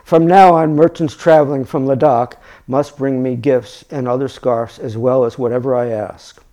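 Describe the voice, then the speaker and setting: A man's voice: medium pitch, steady tone, normal speed, warm tone, lightly acted. A man reading aloud as a small, wild boy hero from a storybook, imperious and menacing in his declarations.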